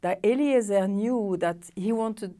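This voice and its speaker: A woman's voice: mid-range voice, a little slow, mellow. A middle-aged woman whose first language is French, hosting a TV studio interview in English with eager, non-native phrasing. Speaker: interview host. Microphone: lapel mic.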